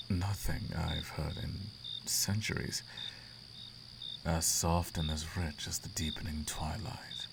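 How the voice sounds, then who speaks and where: Man playing a deep, gravelly, seductive demon prince. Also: very noisy. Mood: amused, admiring. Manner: teasing, silky, grandly formal, drawn-out emphasis. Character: suave, flirtatious, imperious male demon prince. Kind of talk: roleplay ASMR, intimate theatrical monologue. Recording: clear outdoor cricket ambience behind.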